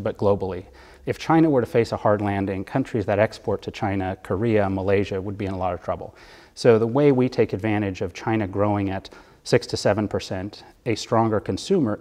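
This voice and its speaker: Low-voiced man in a reverberant studio. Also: a little mellow. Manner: measured, explanatory, with occasional fillers. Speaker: bond fund manager, guest. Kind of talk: studio interview, explaining economics.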